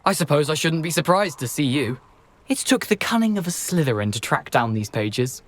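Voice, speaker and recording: Wry, lively ghost. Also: male voice, on the high side, normal speed, no echo. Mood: wry, reluctant. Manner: wry, wordy, theatrical. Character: young male headless ghost, wry, cheeky, wary. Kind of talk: video game character acting.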